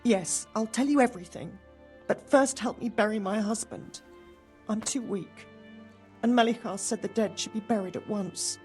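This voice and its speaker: An actress playing a weary, grieving medieval village widow in a video game, sorrowful and wary. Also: female voice, fairly high pitch, normal speed, darkish tone, soft background music, natural voice. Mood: weary grief.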